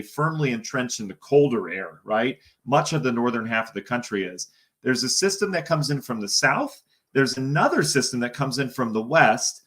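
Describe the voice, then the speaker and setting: Hoarse, chatty weatherman. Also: mid-range voice, a bit bright. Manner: chatty, thinks aloud. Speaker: TV meteorologist. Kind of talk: live-streamed weather briefing.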